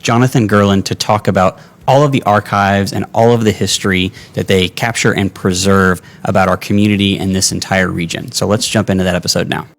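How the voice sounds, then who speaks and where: A man co-hosting a business podcast, brisk and energetic in his delivery. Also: sits low, vocal fry. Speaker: podcast co-host.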